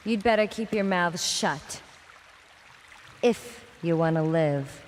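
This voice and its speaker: An actress playing a calm, matter-of-fact heroine in a game cutscene. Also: female voice, fairly low pitch, slow, big-room sound, slight background noise. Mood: cool. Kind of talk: video game cutscene acting.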